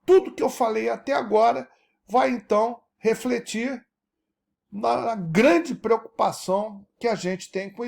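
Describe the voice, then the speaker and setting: A man, giving a lecture as an animated teacher who keeps checking that his audience follows. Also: high-pitched, vocal fry. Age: 70s. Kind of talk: recorded university-style lecture.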